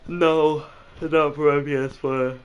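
A man's voice: on the high side, flat delivery, slow. Boastful gamer guy, muffled chat. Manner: boastful, playful trash talk. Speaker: gamer chatting in a party while waiting for a match. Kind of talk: casual party chat. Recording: muffled lo-fi game chat audio.

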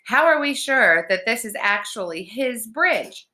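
Woman as a young storybook boy, skeptical, exasperated, complaining, sarcastic, bright sharp tone. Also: medium pitch, big pitch swings, unhurried, pitched above the performer's own voice. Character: anxious, skeptical, exasperated young twin brother.

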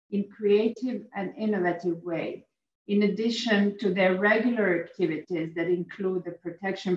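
A woman giving a formal conference welcome, hesitating often with fillers. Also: medium pitch. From Croatia. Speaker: guest speaker. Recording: echoey muffled remote audio.

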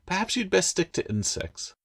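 A teasing, sharp-tongued orphan girl from a fantasy audiobook, played by a man. The voice is pitched well up, loyal and a little worried underneath. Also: huge pitch swings, normal speed, slightly rough, heavily acted.

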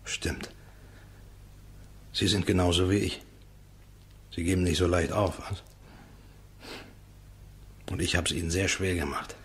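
An actor playing a resigned condemned prisoner in a German radio drama, speaking in a halting, subdued, very husky voice. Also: male voice, sits low, fairly even intonation. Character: resigned condemned prisoner protesting his innocence, grateful.

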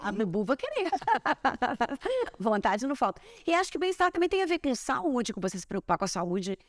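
Actress guest for a sit-down chat, forties, animated, gesturing, dry clip-on sound. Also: female voice, sits high, moderate pace, no echo. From Brazil. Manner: chatty, animated storytelling with hand gestures. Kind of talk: relaxed interview chat. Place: large bright living room, seated on a sofa. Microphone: small clip-on mic on collar.

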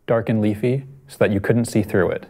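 A man's voice: fairly low pitch, fairly even intonation, fairly quick, darkish tone. A man in his twenties reading a picture book aloud and chatting casually in a studio. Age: 20s. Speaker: storytime reader. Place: small TV studio at a desk.

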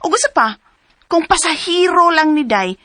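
High-voiced, gossipy Cebuano friend. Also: female voice, animated, moderate pace, bright, forceful tone, dry recording, heavily acted. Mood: teasing, nosy. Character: gossipy female friend, nosy, concerned tattletale. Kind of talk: radio drama acting.

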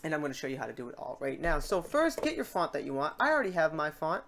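Screencast tutorial host: medium pitch, casual and chatty, informal step-by-step instruction. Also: big pitch swings, fast, gravelly, a bit bright.

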